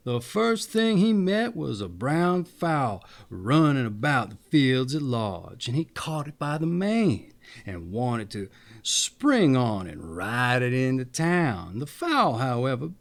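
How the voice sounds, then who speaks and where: A man narrating a fairy tale in an expressive, deliberate storytelling manner. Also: mid-range voice.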